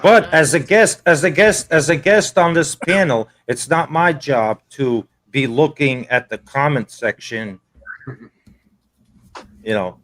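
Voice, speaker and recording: A man's voice: on the high side, slow, a bit bright, poor audio. A man born in Portugal chatting as a guest on a livestream panel, stumbling and repeating his words as he talks. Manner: repeats himself, stumbling restarts. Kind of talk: casual livestream chat.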